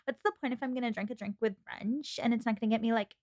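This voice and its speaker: A woman voicing a snobby, vapid socialite with a put-on Californian valley girl accent, airy and drawn-out. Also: fairly high pitch, steady tone, a little mellow, dry recording, highs cut off, lightly acted.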